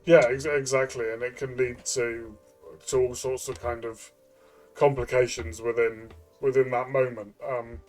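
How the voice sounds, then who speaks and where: A man chatting as a game reviewer, thinking aloud in a halting way with repeated words. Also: mid-range voice, flat delivery, a little mellow. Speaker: tabletop game reviewer and player. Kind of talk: casual review discussion.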